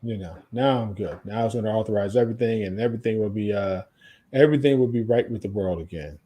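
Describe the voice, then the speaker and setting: A man's voice: on the low side, fast talker, mellow, husky. An American man co-hosting a podcast in casual music chat, often repeating his own phrases as he talks. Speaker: podcast co-host.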